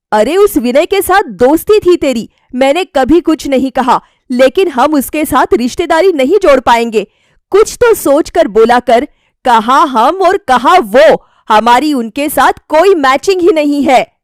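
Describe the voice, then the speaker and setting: A stern middle-aged mother from a cartoon moral tale, acted by a female narrator. Her voice is high and forceful, scolding with scornful, emphatic questions. The sound is dry and close. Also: lively intonation, measured pace, dry recording.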